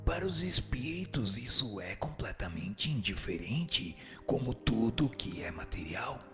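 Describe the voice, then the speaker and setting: A man playing a calm, firm spirit teacher who gives measured, didactic answers in a scripted reading, with a breathy, gravelly voice. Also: medium pitch. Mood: solemn, instructive. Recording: music bed under the voice.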